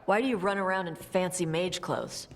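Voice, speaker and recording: A woman voicing a blunt young dragon hunter who talks in short, gruff, dismissive lines in a game cutscene. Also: fairly low pitch, moderate pace, a little hiss or hum, close to an everyday voice. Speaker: treasure hunter. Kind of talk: video game cutscene dialogue.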